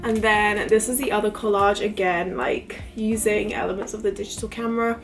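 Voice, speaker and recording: A woman in her twenties vlogging casually to camera, chatty and rambling, her voice a little croaky from illness. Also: medium pitch, fairly even intonation, moderate pace, soft background music. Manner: chatty, rambling, lots of fillers. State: recovering from illness, voice a bit croaky. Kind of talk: casual talking-to-camera vlog.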